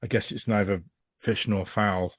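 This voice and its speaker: A man's voice: lowish voice, steady tone, moderate pace, dry recording, clean studio sound. A man giving a casual podcast review as a guest, speaking in a rambling, emphatic, frank way.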